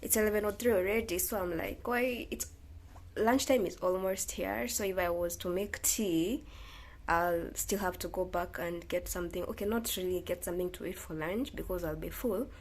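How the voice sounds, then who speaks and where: A woman's voice: on the low side, moderate pace. A young Kenyan woman with a Kenyan accent, vlogging in a rambling, chatty way and slipping Swahili phrases in. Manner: rambling, self-correcting, mixes in Swahili. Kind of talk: casual chatty vlog.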